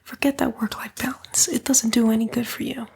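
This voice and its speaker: A woman voicing a sly female demon in a whispered, breathy ASMR roleplay, coaxing and taunting the listener in a casual way. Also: on the high side, steady tone, brisk, very noisy.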